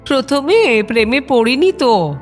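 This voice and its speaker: An actress playing an affectionate, mischievous grandmother in a Bengali audio drama, teasing and wry in an unhurried way. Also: high voice, lively intonation, faint music behind. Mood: amused, nostalgic.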